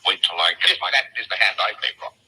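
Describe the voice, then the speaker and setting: An actor playing a proud, short-tempered villain in an old-time radio mystery, blustering and threatening with melodramatic delivery. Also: male voice, sits low, fast, heavily acted, low-quality recording. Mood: defiant. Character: menacing, proud male villain, suave but short-tempered. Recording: old radio recording, bright and rough.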